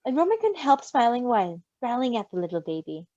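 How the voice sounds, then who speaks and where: A woman's voice: a little high, brisk, dry recording. A woman reading fan fiction aloud expressively, with gushing, casual asides. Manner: expressive, gushing, giggly reactions. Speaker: story reader. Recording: dull, lo-fi close recording.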